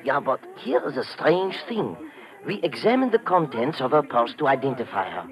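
Male actor's German-accented hospital doctor, medium pitch, animated intonation, precise, hesitant, formal, old radio drama. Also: normal speed, close to an everyday voice. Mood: grave explaining. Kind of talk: 1950s radio drama acting.

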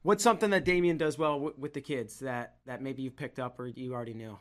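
A man's voice: medium pitch, fairly even intonation, fast. A man hosting a wrestling podcast, asking easygoing, loosely phrased questions. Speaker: podcast host. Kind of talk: casual interview questions.

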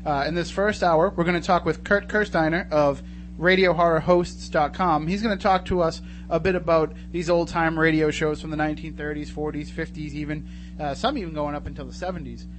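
A man's voice: on the high side, steady tone, a little fast, background noise. A man hosting a radio show in a casual, chatty and jokey way from a studio.

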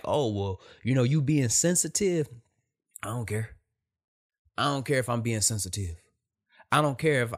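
A soft-voiced man giving a blunt, emphatic motivational talk, repeating his points. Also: mid-range voice. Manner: emphatic, repetitive, direct with profanity.

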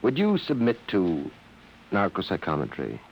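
An actor playing a composed military psychiatrist in a 1950s radio drama, questioning in a clinical, deliberate manner. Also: male voice, low-pitched, wildly swooping pitch, muffled recording, exaggerated voice. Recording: noisy old radio recording.

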